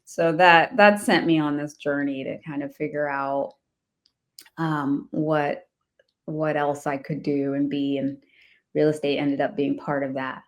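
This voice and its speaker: A woman's voice: sits low, fairly even intonation, a little slow. A woman from the Washington, D.C. area, a guest in an interview telling her life story casually, laughing often and restarting her sentences. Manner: laughs often while talking, many restarts. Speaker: guest, real estate investor.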